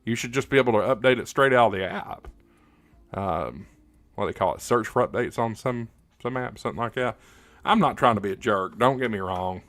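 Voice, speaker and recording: A man hosting a casual hobby livestream, answering comments in a halting, plain-spoken, opinionated way, often repeating words as he goes. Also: on the low side, a bit bright, dry recording. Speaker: livestream host, ham radio hobbyist.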